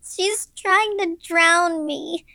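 A woman's voice: high voice, measured pace, creaky, dry recording, pitched above the performer's own voice, heavily acted. A woman voicing an eerie, frightened six-year-old girl in a ghost story, with a childlike delivery. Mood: terrified.

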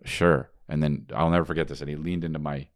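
A man co-hosting a casual podcast, talking in halting bursts with lots of restarts. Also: level tone, dry recording. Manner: halting, many restarts, goes quiet and low when musing. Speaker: podcast co-host.

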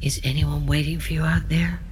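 An actress playing a calm, probing leader of a healing circle in an audio drama, speaking deliberately and intimately, often breathy. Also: female voice, deep voice, background noise, close to an everyday voice. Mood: hushed, solemn. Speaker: group leader. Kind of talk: audio drama, slow guided therapy speech.

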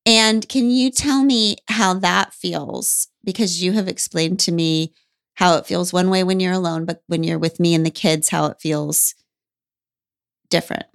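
A woman's voice: fairly low pitch, steady tone, unhurried, gravelly, clear, resonant tone. A woman hosting a conversation who asks probing, reflective questions. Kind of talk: conversational interview.

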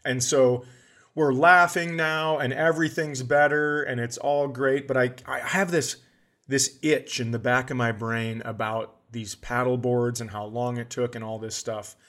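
A man's voice: medium pitch, fairly even intonation, a little slow, fairly bright tone. Man telling a profane, comedic story. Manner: profane, exasperated retelling with acted-out dialogue. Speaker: podcast host.

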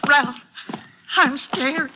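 A woman's voice: high voice, drawn-out words. A woman playing a frightened, insistent farm wife, pleading anxiously in an old radio drama. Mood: pleading, scared. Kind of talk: 1950s radio drama acting. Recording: noisy, clicking old radio recording.

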